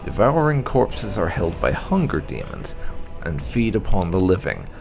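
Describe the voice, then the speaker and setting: A man reading game lore aloud as scripted narration, in a measured, dramatic storytelling way. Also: low-pitched, sing-song, vocal fry. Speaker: gaming video host. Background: background music.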